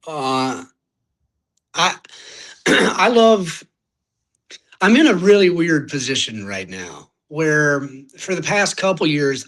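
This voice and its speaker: A young man with a Louisiana accent talks in a relaxed, unhurried way as a podcast guest. His voice is bright and clear at a middle pitch. He wanders through his thoughts and often stops to hunt for the right word. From Louisiana, United States. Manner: rambling, searching for words, many restarts. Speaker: podcast guest, singer-songwriter. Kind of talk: casual interview chat.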